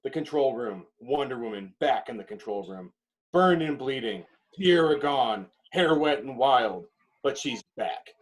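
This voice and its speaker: A man reading screenplay directions as dramatic narration, in emphatic clipped phrases with pauses. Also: mid-range voice, animated, poor audio.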